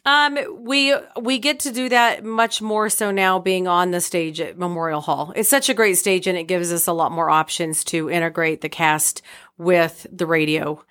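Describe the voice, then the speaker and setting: A woman's voice: fairly low pitch, normal speed, a bit bright, smooth. A middle-aged woman talking casually as a theater director being interviewed on a podcast.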